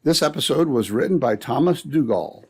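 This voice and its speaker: A man's voice: mid-range voice, expressive, moderate pace, darkish tone, slightly rough. A man narrating as an old-time radio announcer, with scripted, dramatic and emphatic delivery.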